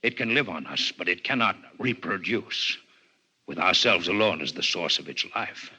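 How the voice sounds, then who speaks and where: Deep, husky alien doctor, grave and formal. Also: male voice, lively intonation, pushed and bright, heavily acted. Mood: grave, insistent. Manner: formal, measured, lecturing. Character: grave alien physician, weakened, coldly intellectual, formal. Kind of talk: 1950s radio drama acting. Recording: 1950s radio broadcast recording.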